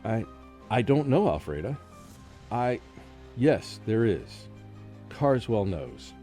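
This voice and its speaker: A man playing a frightened college professor in a radio horror drama, with a brooding, halting, dramatic delivery. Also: fairly low pitch, sing-song, dark tone. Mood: rattled, uneasy. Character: frightened haunted male college professor recounting a curse. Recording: music under the voice.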